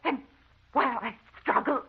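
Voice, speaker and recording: An actress playing an anxious, haunted wife in a melodramatic old-time radio drama. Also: female voice, high-pitched, normal speed, vocal fry, slightly rough, exaggerated voice. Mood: rising terror. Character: anxious, haunted wife recounting supernatural terrors. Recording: muffled, noisy old radio recording.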